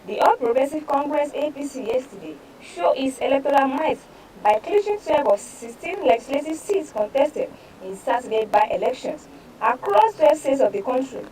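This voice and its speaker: A Nigerian woman presents a newspaper review in a high, softly mellow voice. Her delivery is formal and a little halting as she reads aloud. She sounds set back from the microphone. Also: distant mic, slight background noise, rough recording. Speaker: news presenter. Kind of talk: reading newspaper headlines and reports aloud for a press review.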